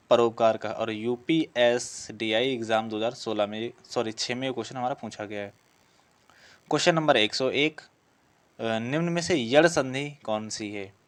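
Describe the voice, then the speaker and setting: A male teacher with a medium-pitched voice works through exam practice questions. He explains as he goes, posing each question and then answering it himself. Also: smooth. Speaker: Hindi grammar teacher for police exam prep. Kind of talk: exam lesson, reading and solving practice questions.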